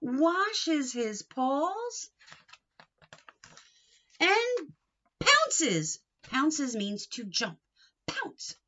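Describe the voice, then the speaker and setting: A woman reads a picture book to small children in a high, very animated voice. She takes her time, lilting through the words and coaxing young listeners with questions. The recording is slightly dull and lo-fi. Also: extremely slow, pauses often. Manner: expressive, sing-song, coaxing with questions to children. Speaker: read-aloud teacher for young children.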